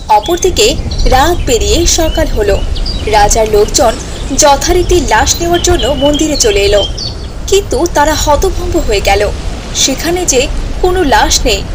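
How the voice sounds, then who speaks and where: A woman's voice: high voice, animated, normal speed, noisy background. A woman giving scripted storybook narration as the narrator of a tale.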